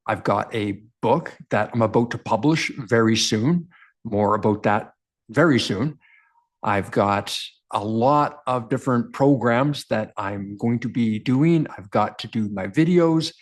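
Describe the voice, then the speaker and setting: An earnest man with a baritone voice speaks straight to the camera as a coach, at a middle pitch. He talks directly and repeats key phrases to drive them home. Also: measured pace. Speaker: men's coach and show host. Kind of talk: motivational self-help talk to camera.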